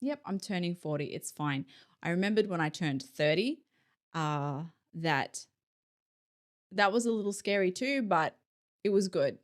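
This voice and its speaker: A woman's voice: fairly low pitch, expressive, measured pace, long pauses. A woman in her forties hosting a casual solo podcast, chatty and self-deprecating, laughing often between her thoughts. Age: 40s. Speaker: podcast host.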